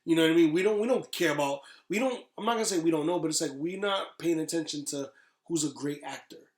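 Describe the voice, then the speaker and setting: Emphatic higher-voiced man in an echoey room. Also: fast. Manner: emphatic, repeats phrases for stress. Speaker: podcast co-host. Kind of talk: casual opinionated movie chat. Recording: roomy, echoey sound.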